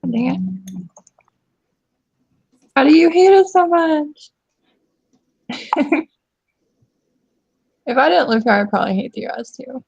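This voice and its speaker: A woman's voice: high-pitched, lively intonation, takes it slowly, vocal fry, long pauses, a little mellow, dry recording. A woman chatting casually in a group, offhand, tossing out abrupt questions. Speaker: chat participant. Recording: dry, dull lo-fi recording.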